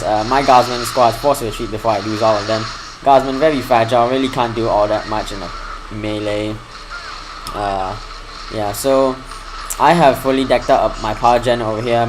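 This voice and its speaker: A man at a middle pitch talks through a strategy game replay in a relaxed, homemade commentary style. His delivery is mostly even and a little monotone, but it brightens when the action heats up. A steady hiss runs under his voice throughout. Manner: fairly flat delivery that lifts when excited. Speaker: gaming channel host. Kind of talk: casual gameplay commentary.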